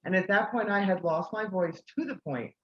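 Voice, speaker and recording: A woman's voice: mid-range voice, normal speed, echoey room, lo-fi sound, slight background noise. A woman who organizes a convention, chatting casually and telling a self-deprecating story. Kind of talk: casual video call chat.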